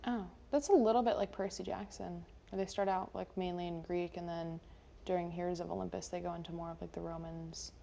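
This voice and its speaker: Young American woman, lowish voice, chatty. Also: steady tone, fairly quick, vocal fry, mellow, slight background noise. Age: young adult. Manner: chatty, informal, peppered with "like". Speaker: local TV station chat host. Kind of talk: casual unscripted chat.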